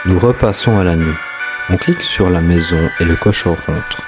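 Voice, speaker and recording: A man's voice: deep voice, moderate pace. A man hosting and narrating a scripted explainer of a horror story. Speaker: host, narrator. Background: music.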